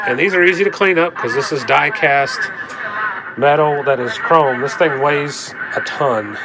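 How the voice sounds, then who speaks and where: A man with a cold talks in a plain-spoken, rambling, folksy way through a casual hands-on demonstration. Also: mid-range voice. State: coming down with a cold. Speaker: vlogger demonstrating a repaired radio. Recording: clear background noise.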